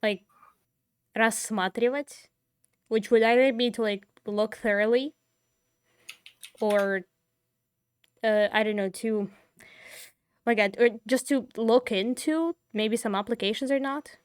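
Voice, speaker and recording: A woman's voice: fairly high pitch, fairly even intonation, slow, pauses often, clear, resonant tone, no echo, studio quality. A woman, casually explaining words on a podcast, often giggling. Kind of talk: casual chat.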